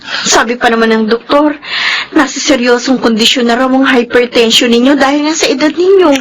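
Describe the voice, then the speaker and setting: An actress playing a patient, caring middle-aged woman in a radio drama, speaking gently, earnestly and respectfully to her elder. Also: high voice, brisk, lightly acted, poor audio. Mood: gently reproachful.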